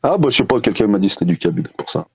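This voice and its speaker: A man talking in a casual live-stream debate, animated and prone to restarts. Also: lowish voice, racing, husky, no echo. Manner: animated, restarts, quotes others in put-on voices. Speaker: debate participant.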